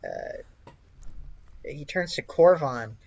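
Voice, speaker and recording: Slow, hesitant male game master. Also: a little high, wildly swooping pitch, vocal fry, a little mellow, lo-fi sound. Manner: hesitant, casual. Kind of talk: improvised tabletop game narration.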